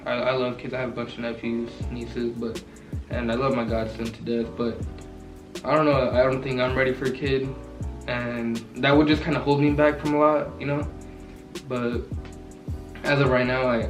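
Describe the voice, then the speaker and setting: A young man of nineteen rambles in relaxed slang about his relationship for a vlog. He sits back from the mic, and the room rings with echo. Music plays underneath. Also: mid-range voice, normal speed. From Southern California. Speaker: vlogger. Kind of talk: casual couple Q&A chat. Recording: roomy echo, mic far off, music behind.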